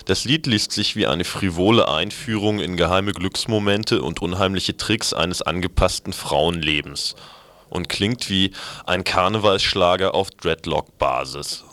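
A man's voice: sits low, a little slow, a bit bright. A man presenting on radio, reading a scripted magazine commentary aloud.

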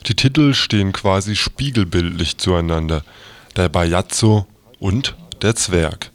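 A man's voice: on the low side, dry recording. A man reading aloud a written newspaper review as a quoted text. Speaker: reader of quoted articles.

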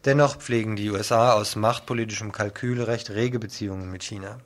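A man's voice: fairly low pitch, fairly even intonation, a little fast. A man presenting scripted news on the radio, reading out headlines and reports as the host.

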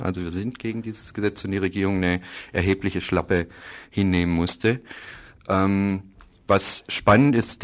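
A man explaining political news in a radio interview, halting often and repeating words as he goes. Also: deep voice. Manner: halting, hesitant delivery with frequent pauses and repeated words. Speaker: interviewee reporting on Uruguay.

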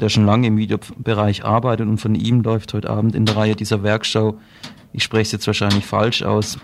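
A man's voice: on the low side, slight background noise. A man presents film tips on radio in a matter-of-fact, explanatory way, partly reading aloud.

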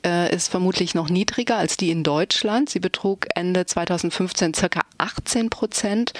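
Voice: mid-range voice, no echo. An interviewer putting long, formally phrased, prepared questions to a guest. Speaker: radio interviewer.

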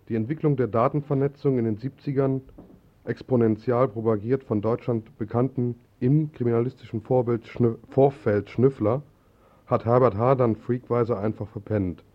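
Male radio presenter on dull lo-fi tape. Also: medium pitch. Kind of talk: spoken radio announcements and scripted satirical narration. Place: radio studio. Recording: dull lo-fi 1980s radio recording.

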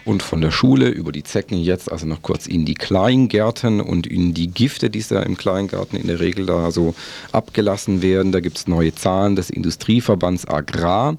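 A man presenting a radio magazine, explaining in a halting way as he rephrases between scripted passages. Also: sits low, creaky, a little mellow.

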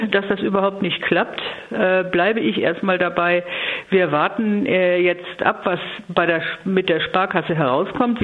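A woman giving a spontaneous political interview as a local council leader, speaking in a measured, emphatic way with careful wording. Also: fairly low pitch. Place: phone call to a radio programme. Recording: narrow-band phone line.

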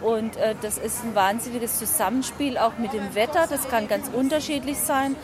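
High-voiced woman farmer amid market chatter. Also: normal speed. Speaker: small farmer, market seller. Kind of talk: informal interview answer. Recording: busy outdoor market chatter.

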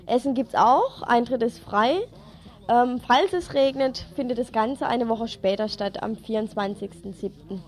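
A woman's voice: fairly high pitch, measured pace. A woman presenting event tips on local radio in an informal, colloquial manner.

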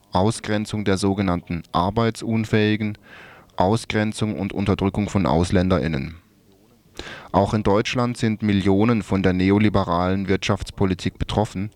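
A man reading a scripted radio report in a formal, measured manner. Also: sits low, darkish tone, dry recording. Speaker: radio presenter.

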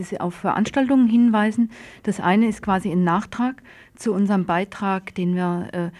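A woman's voice: mid-range voice, darkish tone. A woman working as a radio presenter and interviewer in an informal news style.

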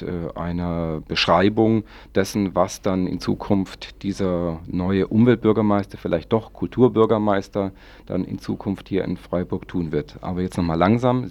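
A man hosting a radio magazine, presenting local news in a halting, semi-improvised way with long winding sentences, close to a studio microphone. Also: low-pitched, gravelly, darkish tone, dry recording.

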